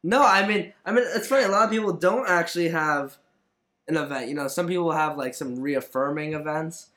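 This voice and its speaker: A man's voice: fairly high pitch, brisk, sharp tone. A young man conducting a casual interview, informal and rambling, with lots of filler words as he works out his questions.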